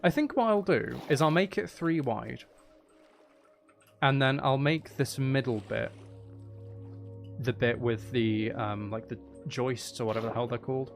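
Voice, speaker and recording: A man's voice: mid-range voice, a little slow, vocal fry, pauses often, faint music behind. A man hosting a casual gaming livestream, rambling and thinking aloud with playful, mock-dramatic asides. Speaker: livestream host.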